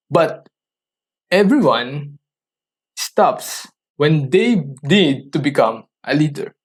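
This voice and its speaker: Earnest man coaching listeners. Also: fairly high pitch. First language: Tagalog. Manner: earnest, coaching, asks listeners rhetorical questions; halting, restarts sentences often. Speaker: podcast host. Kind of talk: motivational solo podcast talk.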